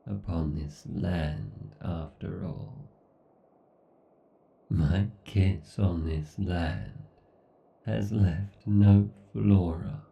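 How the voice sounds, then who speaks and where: A man playing an obsessed, villainous AI god in a horror roleplay, speaking with slow, brooding menace and deliberate pauses. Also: low voice, vocal fry, distant mic, highs cut off, full character voice. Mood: wounded, accusing. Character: obsessed, villainous male AI god ruling a scorched apocalypse. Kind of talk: horror ASMR roleplay monologue.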